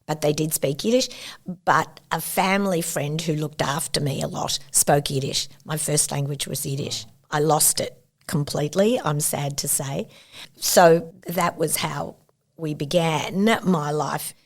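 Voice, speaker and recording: A woman, thoughtfully recounting her family's story as an interview guest. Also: low voice. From Australia. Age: 70s. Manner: thoughtful, unhurried recollection with warm asides. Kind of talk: reflective personal storytelling.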